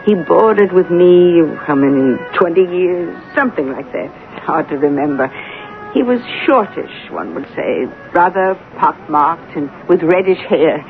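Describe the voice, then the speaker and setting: A woman playing a nosy, motherly Russian landlady, brusque but kind, in a 1970s radio drama. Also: fairly low pitch. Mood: wistful. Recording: tinny 1970s radio recording, faint music.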